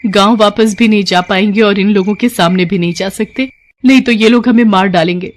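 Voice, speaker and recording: A woman's voice: mid-range voice, fairly quick, natural voice. Woman playing an earnest wronged young sister. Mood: plaintive. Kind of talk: animated moral story character acting.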